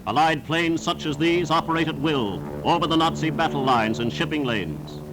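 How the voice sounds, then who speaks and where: A man's voice: a little high, expressive, normal speed, noisy background. A man reading scripted 1940s newsreel narration in a formal, emphatic, declamatory manner on a vintage soundtrack.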